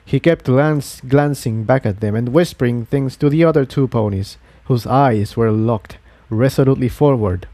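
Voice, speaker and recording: A man's voice: medium pitch, normal speed, mellow, no room sound. A man reading a story aloud as a scripted narrator. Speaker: fan fiction narrator.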